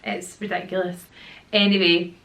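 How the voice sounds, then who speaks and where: A woman's voice: fairly low pitch, level tone, moderate pace, fairly bright tone. A woman in her thirties with a Glaswegian Scottish accent, chatting casually to camera at home, rambling and slangy, with a slightly sore throat. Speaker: YouTuber and mum. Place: at home with children nearby.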